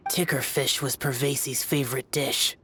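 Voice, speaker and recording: A man's voice: medium pitch, fairly even intonation, moderate pace, sharp tone, slight background noise, close to an everyday voice. A man voicing a solemn, aloof young guardian spirit who speaks in short, cold lines, in a video game dub. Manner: terse, cold, clipped. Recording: game audio with music behind.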